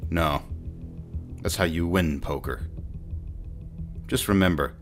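A man's voice: low voice, slow pace. A man playing a knowing poker mentor in an audio drama, giving terse, instructive advice. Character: worldly male poker mentor, coolly knowing. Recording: music and rain behind the voice.

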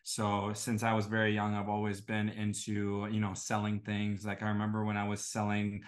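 A man's voice: on the low side, monotone, normal speed. A man in his twenties from Estonia, answering interview questions casually with a conversational "you know" habit. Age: 20s. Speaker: guest, e-commerce entrepreneur.

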